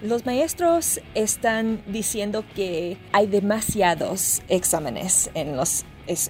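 A woman's voice: mid-range voice, darkish tone. A woman reporter interviewing a guest, asking questions and supplying words the guest is searching for. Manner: prompts and finishes the guest's words. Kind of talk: news interview.

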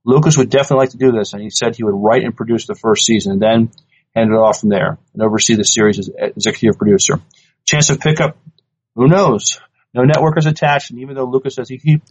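A man co-hosting a podcast, reading news items and chatting casually, sometimes haltingly, on a lo-fi recording. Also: mid-range voice, steady tone, slightly rough. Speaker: podcast co-host. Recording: dull, lo-fi podcast audio.

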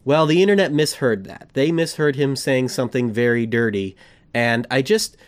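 A man hosting a fan podcast, chatting casually, rambling and correcting himself as he gets excited. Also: mid-range voice, normal speed. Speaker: podcast host.

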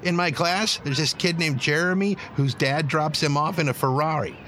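Man as an eleven-year-old boy, very high voice, proud, idealistic, chatty slangy delivery, audio drama, faint background noise. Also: normal speed, sharp tone. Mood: frank, opinionated. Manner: chatty, informal, youthful filler-laden talk.

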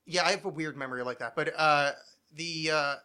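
A man's voice: a little high, brisk, bright, forceful tone, clear, resonant tone. A man in his thirties hosting a podcast, chatting casually in a rambling, freely sweary way. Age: 30s. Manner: rambling, digressive, freely profane. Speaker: podcast host. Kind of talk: casual chat about television.

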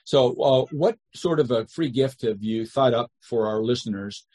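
A man hosting a podcast interview, upbeat and encouraging, quick to praise his guest. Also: mid-range voice, darkish tone, breathy edge. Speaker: podcast host.

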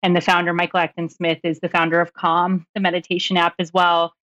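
A woman with a fairly low, bright voice gives a casual self-introduction as a startup founder. She rambles chattily with small chuckles. The sound is dry and a little muffled. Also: little pitch movement, fairly quick, no echo.

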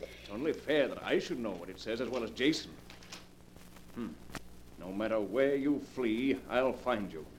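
A man's voice: mid-range voice, expressive, moderate pace, pauses often, close to an everyday voice. A man acting a ruthless, paranoid businessman in a 1940s radio mystery, commanding and coldly sardonic in a theatrical way. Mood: coldly menacing. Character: ruthless, paranoid businessman, menacing husband turned killer. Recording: noisy old 1940s radio recording.

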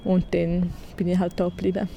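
A woman's voice: lowish voice, level tone, brisk, creaky, dark tone, lo-fi sound. A woman in her twenties with a St. Gallen Swiss German accent, chatting casually as a guest and laughing often. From St. Gallen, Switzerland. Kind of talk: casual conversation.

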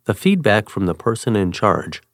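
Guy with a lowish voice reading an article out, steady and even, dry close sound. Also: normal speed, dry recording. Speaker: reader. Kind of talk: scripted reading of a first-person article.

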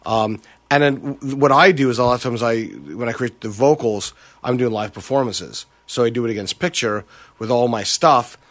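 A man's voice: medium pitch, normal speed, fairly bright tone. A voice actor on a panel, chatting casually and asking himself questions as he explains his process. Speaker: creature voice actor.

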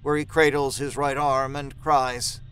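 A man's voice: mid-range voice, little pitch movement, unhurried, fairly bright tone, soft background music. A man narrating a horror story from a script.

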